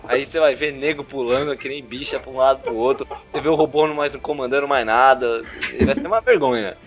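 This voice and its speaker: A man's voice: mid-range voice, fairly quick. A Brazilian man in a casual group chat who rambles and teases the others. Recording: dull lo-fi audio with faint music.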